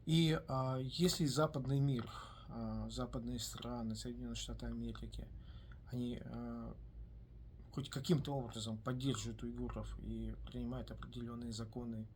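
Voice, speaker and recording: A man giving a solo video commentary in a measured way, pausing often with hesitant filler sounds between phrases. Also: medium pitch, a little mellow, a little hiss or hum. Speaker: commentator on his own video channel. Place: based in the United States.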